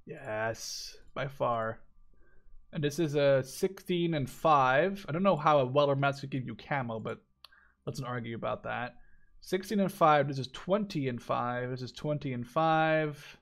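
A man's voice: medium pitch, measured pace, fairly bright tone. A man in his twenties giving casual let's play commentary, rambling and repeating himself, with casual swearing. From Netherlands. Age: 20s. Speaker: gaming video host.

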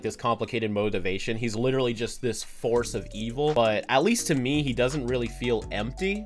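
A young man co-hosting a casual ranking show, talking informally into a microphone indoors. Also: medium pitch. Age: young adult. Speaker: co-host of a cartoon ranking show. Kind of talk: casual chat. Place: small cluttered room indoors. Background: background music.

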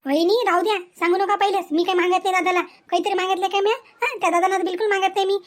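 A woman's voice: very high-pitched, fairly even intonation, fast talker. A woman voicing a scheming young college-student daughter in a family cartoon, wheedling, insistent and touchy. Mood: indignant. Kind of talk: cartoon family comedy acting.

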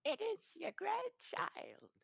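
A man plays a sly storybook wolf pretending to be a little girl. The strained falsetto is breathy and cracks with fry, swinging wildly in pitch. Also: huge pitch swings, slow pace, a bit bright, no echo, full character voice. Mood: falsely sweet. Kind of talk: storybook character voice. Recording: dry, dull lo-fi recording.

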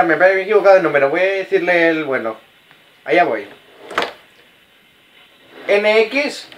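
A man from Spain with a Spanish accent voicing a halting, bumbling, clueless customer on a prank call to computer support. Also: high-pitched. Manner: halting, slow to answer, playing confused. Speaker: prank caller. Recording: echoey, noisy phone prank recording.

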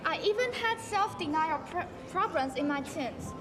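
A woman's voice: extremely high pitch, lively intonation, moderate pace, noisy background. A teenage girl with a Taiwanese accent playing an earnest young female doctor in a school stage play. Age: teens. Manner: earnest, explanatory. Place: large school auditorium stage. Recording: echoey hall with music behind.

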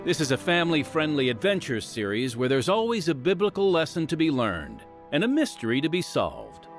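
A man announcing a radio program, reading scripted narration with an earnest, deliberate delivery. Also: a little high, huge pitch swings. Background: music.

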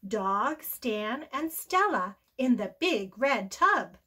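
An older woman reading a children's story aloud in an animated, sing-song, expressive way. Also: a little high, takes it slowly, husky. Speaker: storybook read-aloud host.

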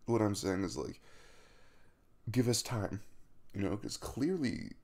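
A man's voice: fairly low pitch, animated. A man playing a caring young crush the morning after a kiss in an intimate ASMR roleplay, speaking casually and hesitantly, with a reassuring manner. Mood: tender, earnest. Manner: hesitant, reassuring, with soft chuckles and trailing pauses.

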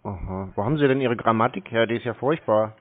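A man talking curtly on a phone call, deadpan and mocking. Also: lowish voice, fast. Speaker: scam-baiter taking phone calls. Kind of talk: unscripted phone conversation. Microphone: telephone call recording. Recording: tinny narrow-band phone line.

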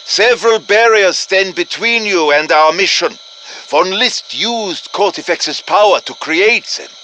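An actor playing an urgent, commanding professor who briefs soldiers in a deliberate, dramatic manner, as video game voice lines. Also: male voice, high voice, animated, pushed and bright, slight background noise, heavily acted, low-quality recording. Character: urgent, commanding male professor guiding soldiers against demons. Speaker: game character voice, mission guide.

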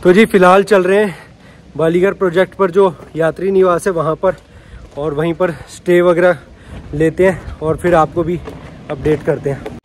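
A man's voice: high voice, fairly even intonation, moderate pace, a little hiss or hum. Chatty man filming a road trip. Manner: chatty, keeps saying "so ji" and "dekh sakte ho". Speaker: travel vlogger. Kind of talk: handheld travel vlog narration. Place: outdoors and at a pilgrim rest house.

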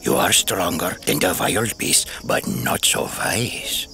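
An actor playing a wily, bitter old one-eyed schemer in a full-cast audio drama, speaking slowly and cryptically with a gravelly, whispery voice. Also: male voice, sits low, sharp tone, soft background music, full character voice. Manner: slow, cryptic, brooding menace.

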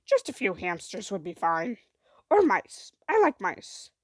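A woman voicing a friendly, joking male monster with a gravelly voice and simple, earnest phrasing, in a storybook. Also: medium pitch, wildly swooping pitch, a little slow, no echo, studio quality, full character voice. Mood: hopeful, joking.

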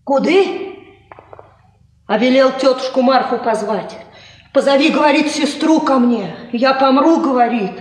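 A woman's voice: sits high, unhurried, pauses often. A woman acting a naive, stubborn young peasant girl in a Soviet radio play. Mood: stubborn, protesting. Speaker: radio drama actress. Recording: echoey hall, distant, old radio recording.

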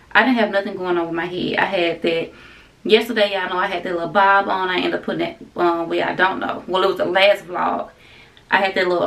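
A woman's voice: mid-range voice, gravelly. A woman, chatting to camera at home in a loose, rambling vlog style and calling viewers "y'all". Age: 30s. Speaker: vlogger. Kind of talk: casual chat to camera. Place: bedroom at home, indoors.

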